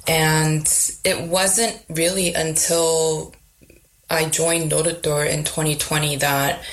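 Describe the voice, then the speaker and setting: A woman from South Korea, an interview guest, answering in a thoughtful, measured way. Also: low-pitched, little pitch movement.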